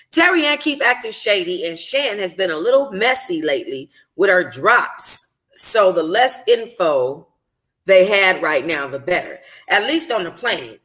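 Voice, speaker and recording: Bright-voiced woman reading a novel aloud. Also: lowish voice. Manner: stumbles over words and rereads phrases. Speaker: book club host reading a novel aloud. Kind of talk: informal first-person audiobook narration.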